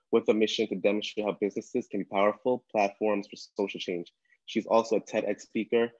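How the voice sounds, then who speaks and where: A man's voice: lowish voice, no echo. A man in his thirties hosting a live online interview, reading introductions with small slips, on a video call from a room. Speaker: event host. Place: indoor room at a desk chair. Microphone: webcam on a video call. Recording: dull lo-fi video call audio.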